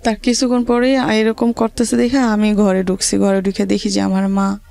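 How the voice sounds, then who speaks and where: A woman with a creaky, middle-pitched voice speaks in a rural Bangladeshi accent. She tells her own story as an interview guest, in a halting, colloquial way, often repeating words as she goes. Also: little pitch movement, dark tone. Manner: halting, repetitive, colloquial dialect.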